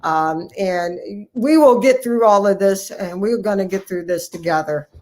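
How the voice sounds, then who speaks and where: Female interview guest, middle-aged, medium pitch, halting deliberate delivery, encouraging, repeats phrases for emphasis. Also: darkish tone. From United States, Ohio. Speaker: guest, family physician and academy president-elect. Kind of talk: conversational interview, encouraging address to colleagues.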